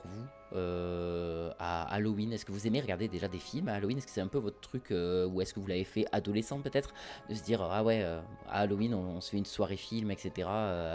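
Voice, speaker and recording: A man's voice: lowish voice, darkish tone. A man hosting a casual film podcast among friends, chatty and teasing. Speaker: podcast host.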